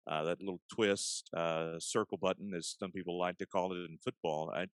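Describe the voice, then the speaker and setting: Very deep-voiced man, dry sound. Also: fairly even intonation, normal speed, dry recording. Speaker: sports arena announcer being interviewed. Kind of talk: conversational interview answers.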